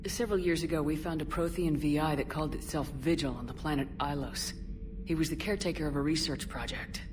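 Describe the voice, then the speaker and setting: Game lead played by a woman: very low voice, direct, composed space officer, noisy ambience behind. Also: close to an everyday voice. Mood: probing. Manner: measured, direct questioning. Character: composed, inquisitive female military commander in space. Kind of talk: video game character dialogue. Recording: clear background noise, ship ambience.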